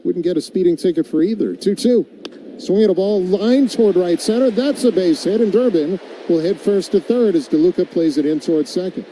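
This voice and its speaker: A male American play-by-play announcer with a very soft, dark timbre and a slightly high pitch. He calls baseball live in radio style and chats casually between pitches, with some outdoor ambient noise behind him. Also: fairly quick, poor audio.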